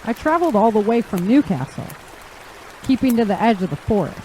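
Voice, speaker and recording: A woman's voice: a little high, expressive, a little fast, darkish tone, a little hiss or hum, natural voice, low-quality recording. A woman playing a wary, determined young traveler, earnest and firm, in an audio drama.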